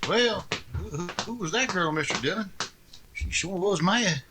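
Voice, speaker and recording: An excitable, simple deputy sidekick. His voice is high and bouncy, eager and countrified, in old radio western style on a noisy recording. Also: moderate pace. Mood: curious, smitten. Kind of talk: old-time radio western drama acting.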